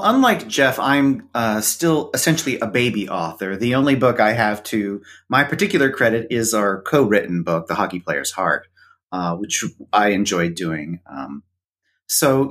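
A man who is a guest on a podcast, talking about books in a thoughtful, hesitant way with many pauses. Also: medium pitch, a bit bright. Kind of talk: casual interview chat.

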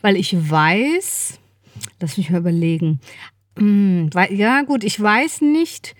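A woman's voice: medium pitch, expressive, slow, dry recording, studio quality. A woman in her fifties chatting casually and informally as a podcast co-host. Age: 50s.

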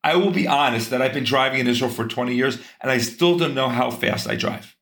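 A man's voice: mid-range voice, brisk, a bit bright. Earnest man in an echoey room. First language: English. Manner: earnest, talks in long runs with many restarts. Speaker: guest. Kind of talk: casual interview conversation.

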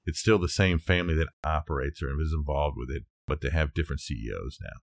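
A man's voice: very deep voice, fast, gravelly, dry recording, studio quality. A middle-aged man co-hosting a podcast, casually sharing facts in an informal, loosely structured way. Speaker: podcast co-host.

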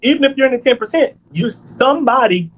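A man in his thirties, a financial planner on a podcast, explaining things in a lively, conversational way and checking in with "right?". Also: extremely high pitch, fast talker, slight background noise, low-quality recording. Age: 30s.

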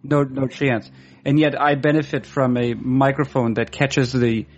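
A man's voice: mid-range voice, flat delivery, measured pace, highs cut off. A man hosting a podcast, chatting casually and teasing his guest. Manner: joking, teasing banter. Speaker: podcast host.

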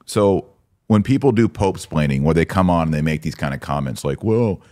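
A man's voice: extremely low pitch, animated, a little fast, a little mellow. A man hosting a podcast, giving opinionated religious commentary in an emphatic, exhorting manner with rhetorical questions. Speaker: podcast host.

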